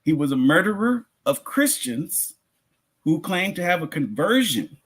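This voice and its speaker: Male preacher, Islamic lecture, husky voice, lively swooping intonation, explanatory, emphatic repetition, rhetorical asides. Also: sits high, unhurried. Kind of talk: religious lecture, teaching.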